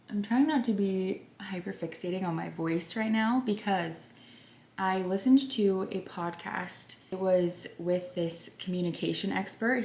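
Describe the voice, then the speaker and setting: A young woman with a soft, gentle voice, chatting casually through a makeup routine, rambling and sometimes whispering. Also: mid-range voice. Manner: rambling, drops into whispers at times. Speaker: beauty vlogger. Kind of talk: soft chatty get-ready-with-me vlog. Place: home. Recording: echoey room with faint background noise.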